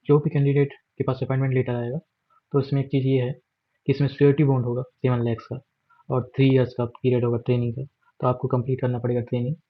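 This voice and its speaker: A man with an Indian accent walking through a job notification on screen, explaining steadily with fillers and English words mixed in. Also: mid-range voice. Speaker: exam guide presenter. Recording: dull lo-fi voice recording.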